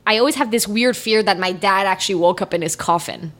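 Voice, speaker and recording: A woman co-hosting a podcast in casual chat, animated, laughing often and swearing freely. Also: medium pitch, quick pace. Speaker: podcast co-host.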